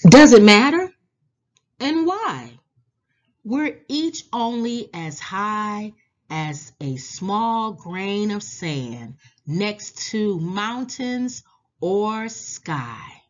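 A woman reading a children's storybook aloud in a deliberate, animated manner, drawing out words and pausing. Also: medium pitch, dull audio. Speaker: children's book read-aloud host. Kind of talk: expressive storybook read-aloud for young children.

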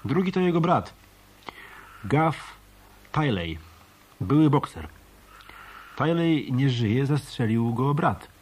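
An actor playing a sardonic, blunt hotel detective in a radio play. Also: male voice, mid-range voice, lively intonation, slow, long pauses, a little hiss or hum. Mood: matter-of-fact. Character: weary, scornful male hotel detective.

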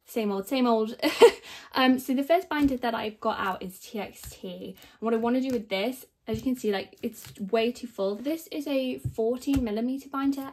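Young woman with a croaky voice, chatty and rambly, talking through her binder setup, kinda self-deprecating. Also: a little high, a little mellow, a little hiss or hum. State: croaky voice after concert screaming. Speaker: k-pop collector vlogger. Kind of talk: casual rambling narration over binder organizing. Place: indoors at a table, filming the binder from above.

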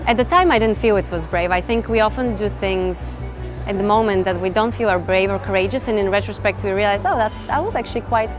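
A woman in her thirties from Bulgaria giving measured, reflective interview answers with some fillers and restarts. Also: mid-range voice. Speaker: interviewee, tech executive. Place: event interview set, indoors. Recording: music bed behind voice.

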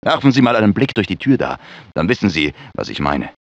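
Anxious male game scientist, fretful, earnest, theatrical, dry sound, muffled low-fidelity clip. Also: very animated, brisk, dry recording, heavily acted. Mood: nervous. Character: anxious male research scientist in a sci-fi disaster. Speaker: video game voice actor. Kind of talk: dramatic game character dialogue, German dub. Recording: dull lo-fi game audio.

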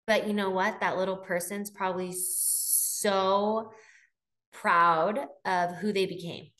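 A woman's voice: mid-range voice, fairly even intonation, takes it slowly, a bit bright. A woman in her thirties hosting a podcast, chatting encouragingly into a studio microphone at home. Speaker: podcast host. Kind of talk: casual interview. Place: home room indoors. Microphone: studio microphone on a boom arm.

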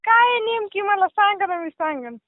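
High-voiced woman on a tinny phone line. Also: animated, normal speed, dry recording. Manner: amused, suspicious, rustic. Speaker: prank call target. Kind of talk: phone conversation. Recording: tinny phone call recording.

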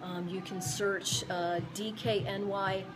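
A woman in her forties talks straight to the camera from her own gym floor, giving friendly advice that wanders and is full of fillers and restarts. Music plays clearly in the room behind her. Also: medium pitch, flat delivery, takes it slowly. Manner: rambling, many fillers and restarts. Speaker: gym owner and fitness coach. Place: indoor gym among weight machines. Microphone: camera or phone at close range.

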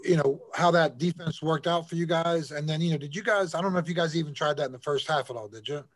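A man working as a sports reporter, asking press conference questions in a halting, hesitant way. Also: fairly high pitch. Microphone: remote call.

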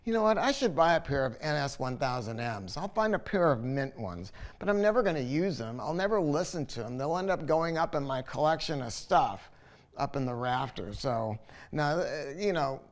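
Older male product reviewer, slightly higher register, conversational, opinionated, emphatic, unscripted feel. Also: expressive, moderate pace. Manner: conversational, opinionated, emphatic with repeated words, casually sweary. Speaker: audio dealer presenting a product review. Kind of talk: informal video product review, talking to camera. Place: listening room at home.

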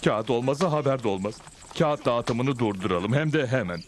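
A man's voice: mid-range voice, lively intonation, normal speed, lightly acted. A man voicing a scheming, indignant villain in a dubbed cartoon, blustering and emphatic. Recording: loud music bed under the dialogue.

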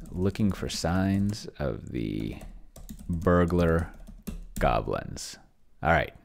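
A middle-aged man giving casual think-aloud screencast commentary, halting and muttering as he types. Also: low-pitched, creaky, darkish tone. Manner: halting, mutters while typing. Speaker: host of a solo roleplaying experiment vlog. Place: small indoor room with bookshelves.

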